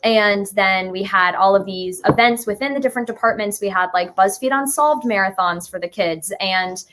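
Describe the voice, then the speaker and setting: A woman's voice: mid-range voice, normal speed, a bit bright. Female, 20s, chatty and informal interview guest with a mid-range voice. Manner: chatty, informal, hedging with "like" and "sort of". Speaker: musical writer and guest being interviewed. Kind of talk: casual interview chat.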